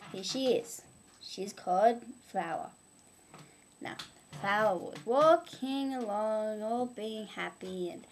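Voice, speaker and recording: A woman's voice: mid-range voice, darkish tone, a little hiss or hum. A young woman telling improvised, humorous moral stories informally, with a playful, mock-instructive manner. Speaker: amateur storyteller.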